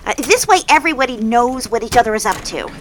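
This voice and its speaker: Woman playing a charity coordinator, brisk, businesslike, weary, lively pitch, faint background noise. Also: natural voice. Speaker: department coordinator Jan.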